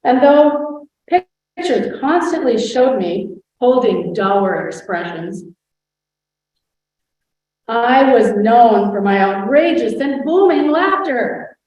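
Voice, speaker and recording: A woman's voice: fairly high pitch, expressive, slow pace, a little mellow. A woman playing a proud, witty 19th-century noblewoman in a scripted first-person portrayal, with a grand storytelling delivery, recorded far from the mic in a very echoey hall. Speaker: historical impersonator. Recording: roomy hall sound, far from the mic, dull.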